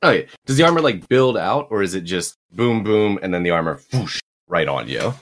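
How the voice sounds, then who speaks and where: A man's voice: low-pitched, fairly quick. A man acting as game master, casually describing comic panels to his players with easy enthusiasm. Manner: relaxed, enthusiastic, lots of fillers.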